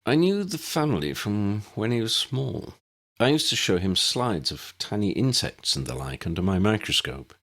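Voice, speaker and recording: A man voicing a courteous older gentleman scholar in a storybook, speaking in a formal, old-fashioned, measured way. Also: fairly low pitch, sing-song, pitched above the performer's own voice, exaggerated voice. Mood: wistful.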